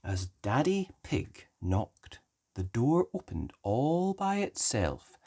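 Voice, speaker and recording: A woman's voice: rumbling low, sing-song, takes it slowly, dark tone, husky. A woman narrating a children's story read aloud, as a storybook reader. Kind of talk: animated scripted storybook narration.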